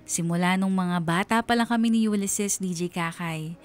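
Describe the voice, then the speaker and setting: A woman's voice: on the low side, moderate pace, faint music behind, lightly acted. A woman playing a young Filipino mother in a radio drama, narrating her life story in an earnest, heartfelt, conversational way. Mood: earnest, contented. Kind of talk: scripted first-person radio drama narration.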